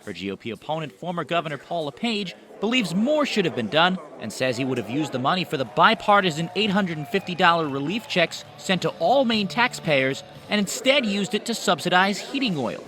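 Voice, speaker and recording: A man's voice: fairly high pitch, big pitch swings, a little fast, few pauses, crowd noise. A man working as a television news reporter, reading a scripted narration.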